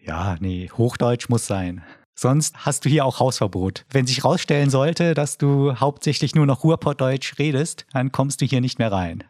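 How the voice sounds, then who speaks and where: A man from the Eifel region, needling his friends with firm, teasing opinions in quick bursts. His voice is dry and close, with no room sound around it. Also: medium pitch, darkish tone, dry recording. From Eifel, Germany. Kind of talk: casual chat.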